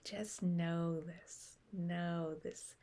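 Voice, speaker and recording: A woman leading a guided meditation in a slow, gentle way, with long pauses between short phrases. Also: lowish voice, fairly even intonation.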